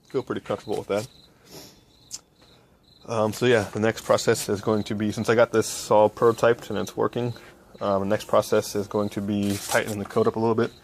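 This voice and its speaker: A young man giving a casual project walkthrough in a low-key, hesitant way, thinking aloud as he goes. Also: flat delivery, a little fast, a little hiss or hum. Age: young adult. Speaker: hobby electronics vlogger. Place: small cluttered home workbench indoors. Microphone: handheld phone camera.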